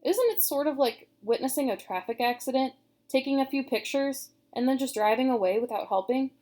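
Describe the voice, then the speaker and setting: A woman's voice: sits high, expressive, a little fast, dark tone. A woman reading as a caring young girl. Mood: uneasy.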